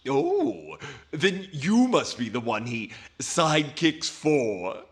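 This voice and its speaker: A man playing a snarky, officious tax collector in 1940s radio comedy style, with smug, drawn-out phrasing. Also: fairly high pitch, huge pitch swings, exaggerated voice.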